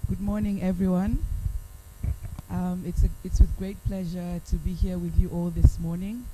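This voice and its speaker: A woman from Tanzania with a Tanzanian accent, giving an earnest, measured talk as a panelist at a conference. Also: fairly low pitch, little pitch movement, background noise. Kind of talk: panel presentation.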